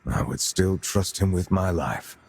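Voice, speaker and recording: An actor playing a grave veteran lord and military commander in a video game, speaking in a formal, measured way. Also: male voice, low voice, vocal fry, a little mellow, slightly rough, heavily acted. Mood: solemn, earnest. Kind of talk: dramatic video game cutscene acting.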